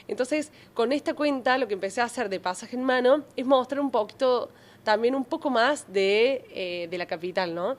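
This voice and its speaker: A woman in her thirties from Córdoba, Argentina, with a Cordoban accent, telling her story as a podcast guest. She talks in an animated way, full of fillers and restarts. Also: fairly high pitch, normal speed. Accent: Cordoban Argentine Spanish. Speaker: journalist and travel creator guest. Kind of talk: podcast interview.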